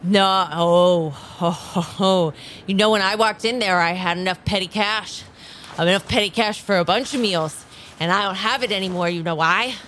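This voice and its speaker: A woman playing a determined but flustered time agent, speaking haltingly and earnestly in an improvised audio drama. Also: mid-range voice, a bit bright, a little hiss or hum, natural voice. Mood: accusing.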